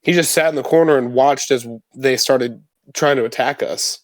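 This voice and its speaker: Male tabletop player, average pitch, casual slangy storyteller, very dry recording. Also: moderate pace, dry recording. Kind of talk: casual in-character tabletop roleplay chat.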